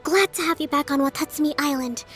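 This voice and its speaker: A high, light voice for a young priestess who leads her island. She speaks in a formal, measured way, earnest and composed, as a video game character with soft music behind her. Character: young female island leader and priestess, earnest, dignified, dutiful.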